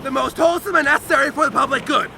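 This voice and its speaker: A man giving a loud, dramatic, emphatic reading of a historical document outdoors, with deliberate phrasing. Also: very high-pitched, steady tone, vocal fry. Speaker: reader at an outdoor public reading. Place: outdoors on a lakeside beach. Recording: outdoor crowd and motorboat noise.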